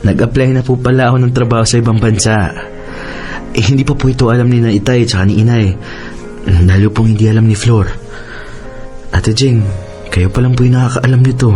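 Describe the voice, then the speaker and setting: A man's voice: medium pitch, fairly even intonation, a little fast, long pauses, warm tone, natural voice. A man with a Filipino accent playing a deferential, troubled younger brother in a radio drama, hesitant and pleading. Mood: secretive, pleading. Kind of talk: Tagalog radio drama acting. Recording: music bed under voice.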